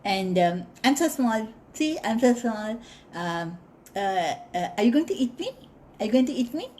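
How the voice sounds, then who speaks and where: A woman's voice: on the high side, lively intonation, normal speed, darkish tone, slight background noise, natural voice. A woman playing a stammering, timid little mouse. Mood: panicked. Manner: stammering, pleading, full of fillers. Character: small timid male city mouse, fussy and nervous.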